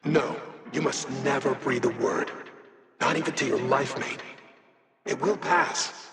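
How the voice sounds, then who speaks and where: An actor playing a dutiful, quietly resentful alien technician, speaking in a measured, formal manner in video game dialogue. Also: male voice, mid-range voice, fairly even intonation, vocal fry, echoey room, in a large hall, crowd noise. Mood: hushed reassurance.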